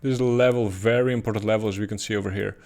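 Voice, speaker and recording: A man's voice: on the low side, fairly even intonation, rapid-fire, a little mellow. A man with a Dutch accent giving casual, thinking-aloud commentary on price charts, with frequent ums and uhs. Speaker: crypto chart analyst.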